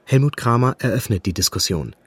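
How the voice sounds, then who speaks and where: A man reading calm, factual documentary narration. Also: medium pitch, brisk, slightly rough, no echo.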